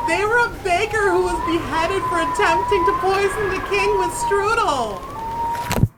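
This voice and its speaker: Chatty, excitable female gossip played by an actress for comic audio drama: highish voice, lively intonation, emphatic storytelling. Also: noisy background. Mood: excited gossip. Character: chatty, excitable woman gossiping to a dear friend, supernatural comedy.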